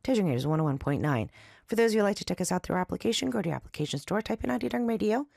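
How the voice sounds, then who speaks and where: A woman hosting a radio show, chatting and reading announcements in a raspy, slightly nasal voice after an allergy attack. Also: medium pitch, rapid-fire, no echo, clean studio sound. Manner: chatty, loose and lively, with fillers. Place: radio studio. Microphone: studio microphone.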